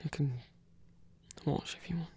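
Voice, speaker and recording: A man playing a shy, insecure frost giant trickster god in an intimate ASMR roleplay, breathy and halting, close to the microphone. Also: dark tone, natural voice. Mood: nervous, procrastinating. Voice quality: breathy, whispery. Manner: hesitant, halting, stammering. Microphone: close microphone, dry.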